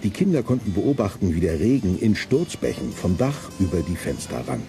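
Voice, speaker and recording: A deep-voiced male storyteller narrates a youth detective radio play. His delivery rises and falls with plenty of movement, and music plays clearly behind him. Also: very animated, normal speed. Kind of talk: scripted audio drama narration for young listeners.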